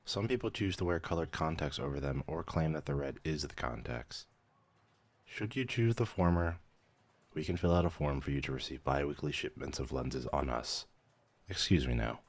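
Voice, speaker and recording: A man playing a brisk, weary vampire mentor in a scripted ASMR roleplay, measured, clinical and dry in manner. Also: rumbling low. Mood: clinical, professional. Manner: measured, clinical, dryly matter-of-fact, hesitant when surprised. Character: male vampire mentor, brisk weary clerk-medic, professional turning casual.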